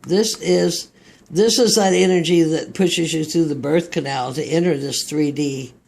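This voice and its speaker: Male tarot reader, Texas accent, fairly high voice, unhurried and rambling. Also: creaky, a little mellow. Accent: Texan. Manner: slow, meandering, reflective delivery. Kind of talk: tarot card reading.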